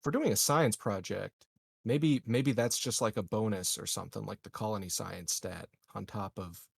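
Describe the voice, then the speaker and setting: A man's voice: fairly low pitch, moderate pace, vocal fry, slightly rough, dry recording. A man casually discussing rules as a player in a tabletop roleplaying game, tentatively thinking aloud.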